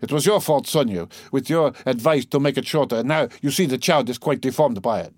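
Man voicing a frantic, haughty, high-pitched gentlewoman. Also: fairly quick, no echo, natural voice. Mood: frantic, scolding. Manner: lofty, wailing, rambling, abruptly switching to scolding. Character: distraught, haughty, ailing impoverished gentlewoman, frantic and scolding. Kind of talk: dramatised audiobook character reading.

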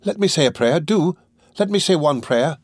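Grown man as young boy, frightened, pious, earnest pleading, raised pitch, dry audiobook recording. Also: expressive, moderate pace, no room sound. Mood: tearful pleading. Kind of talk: dramatic audiobook character reading.

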